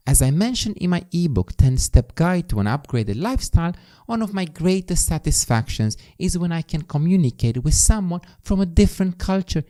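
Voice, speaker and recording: A man's voice: medium pitch, warm tone. A man with a Romanian accent, a native Romanian speaker, hosting a podcast in an emphatic, scripted self-improvement monologue that addresses the listener directly. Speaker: podcast host.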